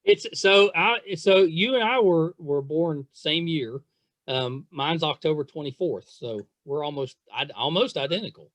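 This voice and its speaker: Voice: medium pitch, unhurried, fairly bright tone, dry recording. A middle-aged podcast interviewer talking casually, with a chatty, self-deprecating, nostalgic manner.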